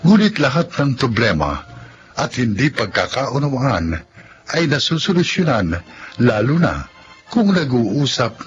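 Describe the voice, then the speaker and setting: A man narrating and announcing for a radio drama in a deliberate, solemn, scripted style. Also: medium pitch, sing-song. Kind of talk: scripted narration and credit reading. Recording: dull lo-fi audio under loud music. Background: loud music.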